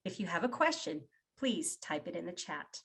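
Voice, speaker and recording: A woman's voice: lowish voice, very animated, moderate pace, fairly bright tone, husky. A woman moderating an online panel, giving brief, courteous prompts to each speaker over a video call.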